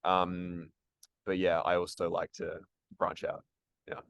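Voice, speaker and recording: A man's voice: deep voice, completely flat delivery, slow, creaky, a bit bright, smooth, no room sound, muffled recording. A man answering interview questions about acting and music, casual and hesitant, with many ums and restarts. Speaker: actor and musician, interview guest.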